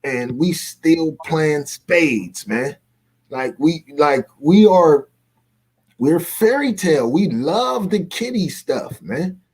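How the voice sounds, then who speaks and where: A man's voice: on the high side, lively intonation, slow, darkish tone, breathy edge. Slangy, emphatic man talking casually. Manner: colloquial, slangy, emphatic, repeats phrases for emphasis. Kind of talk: casual opinionated livestream discussion. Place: remote video call.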